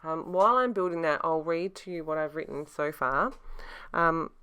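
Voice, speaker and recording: A woman's voice: low-pitched, expressive, moderate pace, clean tone. A woman talking casually over a scrapbooking process video, her voice a bit scratchy, hesitant and full of fillers. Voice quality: soft-spoken, hesitant with many fillers. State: scratchy, throat-clearing voice. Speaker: scrapbooking crafter.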